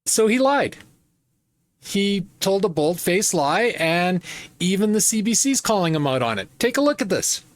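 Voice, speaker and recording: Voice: mid-range voice, very animated, unhurried. A host giving opinionated political commentary in an emphatic, repetitive and scornful manner.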